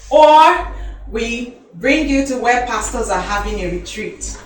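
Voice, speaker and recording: A woman's voice: fairly high pitch, expressive, measured pace, a little hiss or hum. A woman, an elocution teacher, giving a talk to teenagers, asking them questions and repeating herself for emphasis. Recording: roomy hall from a distance, music behind.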